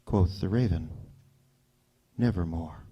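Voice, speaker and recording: A man giving a theatrical, suspenseful poetry recitation with deliberate pauses, recorded live on stage. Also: deep voice, mellow, slightly rough, dull audio. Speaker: performer reading poetry.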